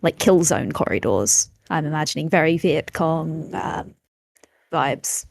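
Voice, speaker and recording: A woman with a lot of vocal fry improvises scene description as a player in a tabletop game. She speaks thoughtfully and haltingly, picking her words as she goes. The recording is close and dry. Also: low-pitched, expressive, no echo.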